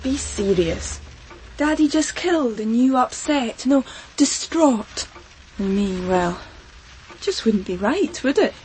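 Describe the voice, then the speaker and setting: A woman's voice: on the high side, animated, slow pace, darkish tone, a little hiss or hum, low-quality recording. An actress playing a defiant, spiteful teenage schoolgirl, mocking and taunting in a radio drama. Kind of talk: broadcast radio drama acting.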